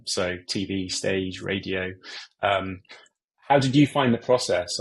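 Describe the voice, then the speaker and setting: A man's voice: fairly low pitch, creaky, breathy edge, poor audio. A man moderating a panel interview, putting questions to the guests.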